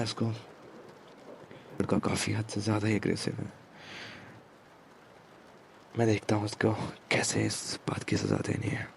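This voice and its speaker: A man playing a commanding, protective mafia boss in an ASMR romance roleplay, speaking in a hushed, deliberate way with English words mixed in. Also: slight background noise. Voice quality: low, gravelly, breathy at times. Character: commanding yet protective male mafia boss, tender with his captive.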